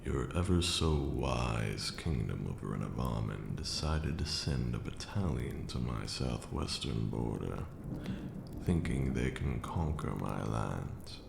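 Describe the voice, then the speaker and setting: Very deep, gravelly vampire lord played by a man. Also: lively intonation, exaggerated voice. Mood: calm, lordly. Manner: formal, archaic, measured phrasing. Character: ancient male vampire lord, stern yet caring mentor. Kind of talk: ASMR roleplay, slow intimate monologue. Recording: roomy echo with audible background noise.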